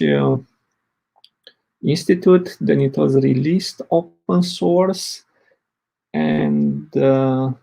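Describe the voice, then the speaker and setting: Brief: man in his forties from Romania, husky and halting, presenting at a tech conference. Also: a little high, warm tone, rough recording. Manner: hesitant, many fillers. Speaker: open source project lead presenting. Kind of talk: conference talk.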